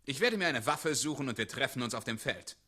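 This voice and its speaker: A male actor playing a steadfast, fearless wandering knight, calm and direct, in a 1960s radio play. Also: mid-range voice, a little fast. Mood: steady, defiant.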